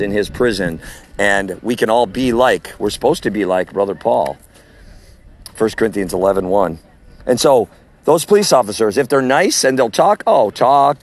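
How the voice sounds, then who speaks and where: A man, a street preacher with a loud voice, recording an audio message with a phone while walking outdoors at a farmer's market, speaking in a forceful preaching cadence. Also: medium pitch.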